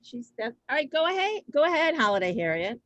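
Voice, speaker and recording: High-voiced, flustered woman hosting. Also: sing-song, dry recording, lo-fi sound. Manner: flustered, halting, repeats herself. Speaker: host of a community reader's theater show. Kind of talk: semi-scripted moderating. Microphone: video call.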